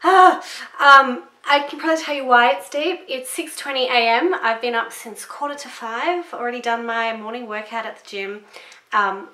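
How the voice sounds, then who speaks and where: A young woman, chatting casually through a beauty product review, rambling and swearing a little, her voice a bit deeper than usual this morning. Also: normal speed, pushed and bright. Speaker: beauty vlogger. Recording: echoey room.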